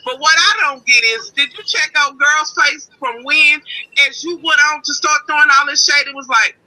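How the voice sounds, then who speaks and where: A man's voice: extremely high pitch, normal speed, pushed and bright, poor audio. A man calling in with a sassy, brash, confrontational rant full of slang. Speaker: disruptive caller.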